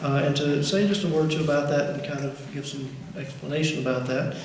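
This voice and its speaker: A man's voice: fairly high pitch, fairly even intonation, fairly quick. Man speaking in an echoey hall. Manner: rambling, many "uh" fillers and restarts. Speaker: orchestra teacher. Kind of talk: introducing a school concert. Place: large school auditorium. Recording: echoey auditorium, faint noise.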